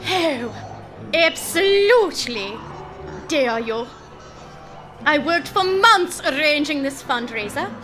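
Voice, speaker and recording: A woman's voice: high-pitched, expressive, slow pace, pauses often, bright, forceful tone, soft background music, full character voice. A woman playing a prim, indignant alien society hostess in a comic sci-fi audio drama, haughty and formal. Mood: outraged.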